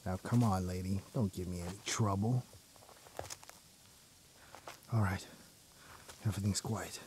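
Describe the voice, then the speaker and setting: An actor in an audio drama playing a hardened, commanding rebel gang leader, fiercely loyal and ruthless, with a husky voice. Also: male voice, deep voice, fairly even intonation, moderate pace, darkish tone, a little hiss or hum, close to an everyday voice. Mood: tense, muttering. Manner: commanding, intense. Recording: music under the voice.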